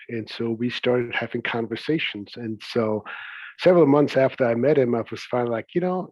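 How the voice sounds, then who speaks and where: A man's voice: medium pitch, breathy edge, dry recording. Swiss-born man, dry muffled audio. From Switzerland. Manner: chatty, laughs easily, many "you know"s. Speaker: guest, coach. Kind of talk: relaxed podcast interview.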